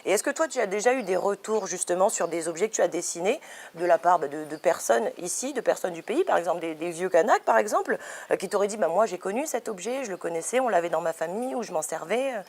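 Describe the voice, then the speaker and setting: A woman hosting an interview, with a lowish voice and a gravelly edge. She asks questions attentively and teases her guest in a friendly way, with frequent murmured agreement. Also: lively intonation, fast talker, a little mellow. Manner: friendly, teasing, attentive with many affirmations. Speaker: interviewer host. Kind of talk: interview conversation.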